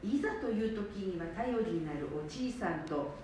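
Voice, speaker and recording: A woman's voice: lively intonation, normal speed, mellow. Woman narrator, very echoey room. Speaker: drama narrator. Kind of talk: scripted storytelling narration. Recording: echoey room, faint rumble.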